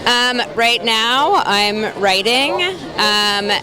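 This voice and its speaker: A woman answering interview questions hesitantly, with frequent pauses and fillers. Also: a little high. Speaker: actress guest. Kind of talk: casual carpet interview. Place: outdoor premiere carpet at a venue. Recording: busy crowd behind.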